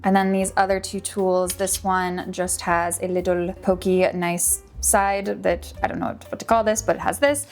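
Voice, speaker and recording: Brief: young woman, mid-pitched, hoarse and a bit fried, friendly tutorial chatter, light music underneath. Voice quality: upbeat and chatty, often drops to a whisper. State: hoarse with some vocal fry from allergies. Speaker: polymer clay artist and small business owner. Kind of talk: casual tutorial chat. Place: small home studio in an apartment.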